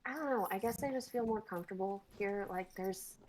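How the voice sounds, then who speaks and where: A woman's voice: medium pitch, fairly quick, darkish tone, lightly acted. A woman playing a self-conscious young dater, explaining herself casually in a script reading on a noisy livestream. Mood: defensive. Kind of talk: dramatic reading of sketch script.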